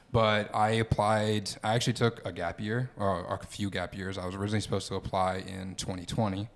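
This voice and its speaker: Low gravelly young man hosting. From Philadelphia. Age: young adult. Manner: relaxed, with frequent "um" and "like". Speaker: podcast host, optometry student. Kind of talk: casual podcast chat.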